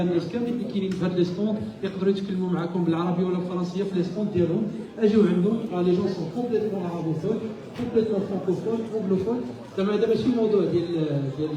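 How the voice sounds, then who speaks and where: A man with a Moroccan accent moderating a panel, brisk and courteous as he passes the mic around. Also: high voice, warm tone, recorded from a distance, highs cut off. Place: conference hall with an audience. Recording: echoey hall from afar, audience murmur.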